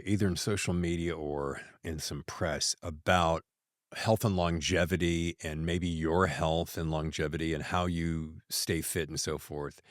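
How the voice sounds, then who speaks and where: A man's voice: low-pitched, dry recording, studio quality. A man in his sixties hosting a casual podcast interview, asking questions with enthusiasm. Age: 60s. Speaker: podcast host.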